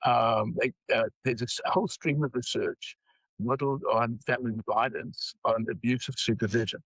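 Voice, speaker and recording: An older man with a South African accent, speaking as an interview guest in a measured way with frequent pauses. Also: mid-range voice, fairly even intonation, a bit bright, no echo, poor audio. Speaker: guest psychology researcher. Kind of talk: interview conversation.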